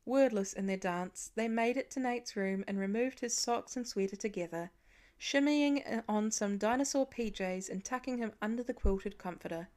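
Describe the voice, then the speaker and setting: A woman's voice: medium pitch, normal speed, a little mellow. A woman reading a story aloud as an audiobook narrator in scripted narration.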